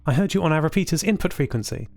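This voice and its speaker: Guy doing a friendly lady radio tech in an audio drama, higher voice, casual n helpful. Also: animated, rapid-fire, a little mellow, no room sound. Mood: matter-of-fact. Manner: casual, easygoing, chatty. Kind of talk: scripted audio drama dialogue.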